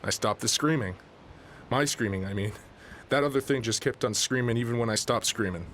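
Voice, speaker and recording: An actor playing a folksy small-town fisherman who rambles and corrects himself in a deadpan way. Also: medium pitch, brisk, slight background noise, close to an everyday voice. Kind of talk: radio drama interview.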